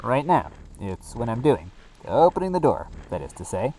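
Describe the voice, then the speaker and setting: Mellow, awkward henchman voiced by a man. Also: medium pitch, very animated, slight background noise, pitched above the performer's own voice, lightly acted, low-quality recording. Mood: awkward stalling. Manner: halting, repetitive, states the obvious. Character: awkward male henchman stalling with obvious over-explanation.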